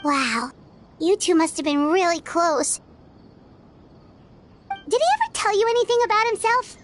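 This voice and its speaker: A woman voicing a tiny, chatty, curious female companion in a video game, with a squeaky childlike voice. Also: very animated, measured pace, exaggerated voice. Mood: sympathetic. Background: faint game music.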